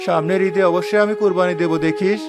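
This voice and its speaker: Cartoon role: humble poor father, high-pitched, meek and resigned, over music. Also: steady tone, quick pace. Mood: dejected, apologetic. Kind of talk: cartoon voice acting.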